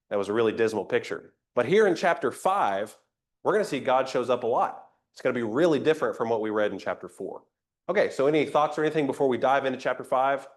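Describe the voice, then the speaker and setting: A man teaching an interactive Bible class, a little nasal from a cold, conversational and asking the group questions. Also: medium pitch. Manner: conversational, invites comments, rhetorical questions.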